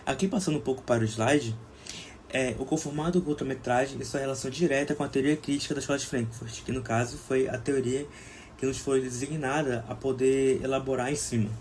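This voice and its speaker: A young man with a Brazilian Portuguese accent gives an academic slide presentation as a student film director, in a halting, hesitant manner. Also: mid-range voice, steady tone, darkish tone. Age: young adult. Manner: halting, with frequent hesitations and restarts. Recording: clear background noise.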